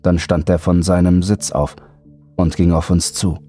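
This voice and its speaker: Horror audiobook narrator, male, very low and dark mellow voice, faint music underneath. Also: flat delivery, measured pace. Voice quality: deep, husky, mellow. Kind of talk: scripted first-person horror narration.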